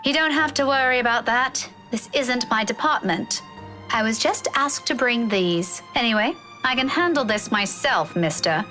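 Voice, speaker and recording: A woman's voice: on the high side, normal speed, pushed and bright, faint music behind, voice pushed up. A woman voicing a prickly, irritated young professional woman in a visual novel, curt and sarcastically polite. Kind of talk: visual novel character read-aloud.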